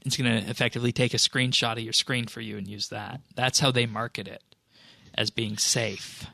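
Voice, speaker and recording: A man's voice: medium pitch, fairly even intonation, normal speed, creaky, sharp tone, no echo, studio quality. A man co-hosting a casual gaming podcast, explaining things in a matter-of-fact way. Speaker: podcast co-host. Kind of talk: casual panel discussion about online games.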